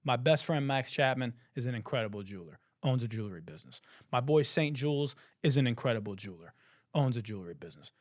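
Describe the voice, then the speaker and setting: A young man hosting a podcast, ranting in disbelief with lots of swearing and laughter, a little hoarse. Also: medium pitch, expressive, fast talker, no echo, clean studio sound. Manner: profane, laughing, incredulous ranting. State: hoarse, says he has lost his voice. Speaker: podcast host. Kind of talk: casual comedic commentary.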